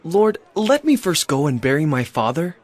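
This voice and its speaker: A man's voice: a little high, sing-song, moderate pace. A man playing a humble, pleading supplicant in an audio Bible drama. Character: humble pleading male supplicant, leper or disciple.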